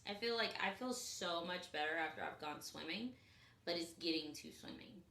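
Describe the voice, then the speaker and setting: A woman's voice: lowish voice, moderate pace, sharp tone, roomy sound. A woman with a Memphis, Tennessee accent, chatting playfully as podcast co-host, full of "like" and "um". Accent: Southern US (Memphis). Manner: chatty, playful, many "like" and "um" fillers. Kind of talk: casual chat.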